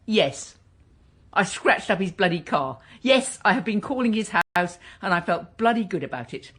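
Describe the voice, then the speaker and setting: A woman's voice: mid-range voice, expressive, fairly bright tone, husky. An actress playing a bitter, defiant woman wronged years ago, in a naturalistic radio drama. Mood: defiant, sarcastic. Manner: impassioned, halting when hurt.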